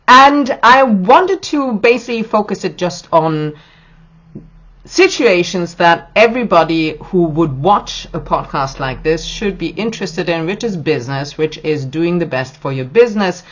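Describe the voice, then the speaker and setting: A hoarse middle-aged woman hosting a podcast, talking in a chatty, rambling way with restarts. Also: fairly low pitch. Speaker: podcast host. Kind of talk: casual podcast monologue. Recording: dull lo-fi recording.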